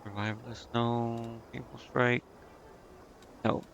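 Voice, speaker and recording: A man's voice: mid-range voice, little pitch movement, slow pace, creaky, fairly bright tone, highs cut off, a little hiss or hum. A man giving casual live gameplay commentary, thinking aloud to himself in a hesitant, muttering way. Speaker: game streamer.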